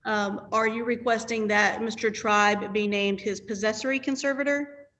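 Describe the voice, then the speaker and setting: A woman's voice: fairly high pitch, steady tone, normal speed, a bit bright. A woman attorney on a video call, asking witnesses formal yes-or-no questions in a courtroom hearing.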